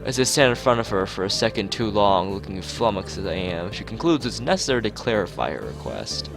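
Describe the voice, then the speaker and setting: A man reading a visual novel aloud in an expressive narrating style, as a let's-player. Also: on the low side, fairly quick. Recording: music behind the voice. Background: clear background music.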